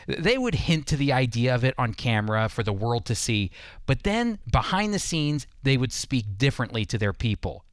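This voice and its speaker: A man's voice: medium pitch, very animated, normal speed, no echo, clean studio sound. A man hosting a radio program, delivering scripted Bible teaching and commentary.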